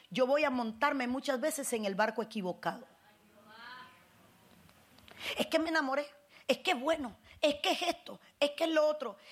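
High-pitched Cuban woman preaching. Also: a bit bright. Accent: Cuban Spanish. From Cuba. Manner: preaching with call-and-response, rising to emphatic high bursts. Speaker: pastor. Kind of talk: sermon.

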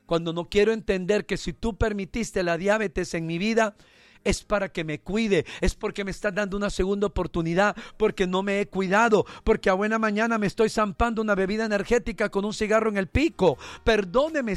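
A man's voice: high voice, moderate pace, no echo, soft background music. A male preacher giving a sermon full of jokes, then leading a fervent prayer. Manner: fervent, joking, conversational.

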